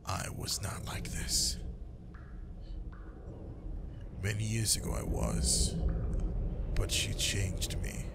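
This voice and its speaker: A man playing a menacing, grieving vampire lord in an ASMR roleplay, half-whispering in a breathy, deliberate voice. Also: deep voice, full character voice. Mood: brooding. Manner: slow, deliberate, threatening. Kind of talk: improvised ASMR roleplay, whispered, addressed to the listener. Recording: loud steady background ambience noise.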